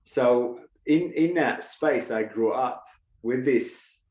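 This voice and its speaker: A man's voice: mid-range voice, slow pace, darkish tone, roomy sound, rough recording. A man from Lebanon, a guest speaker, talking reflectively with many fillers and restarts. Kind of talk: reflective casual talk.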